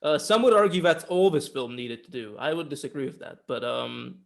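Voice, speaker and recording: A man co-hosting a podcast, explaining and discussing a film in a casual, measured conversation. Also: mid-range voice, expressive, vocal fry. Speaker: podcast co-host. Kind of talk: casual film review chat.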